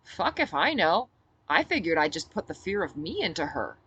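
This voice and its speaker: A woman's voice: on the low side, big pitch swings, normal speed, pushed and bright, breathy edge, heavily acted. A woman voicing an irritable, defiant female rebel leader with a blunt, sarcastic manner, in a story reading. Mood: wry, offhand.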